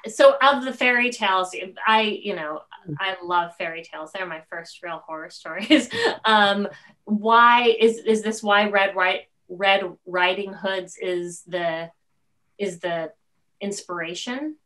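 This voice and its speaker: A middle-aged woman hosts a conversational interview over a video call. She talks slowly in a mid-range voice, expressive and stop-start as she shapes each question. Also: mid-range voice. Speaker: interview host. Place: room at home on a video call.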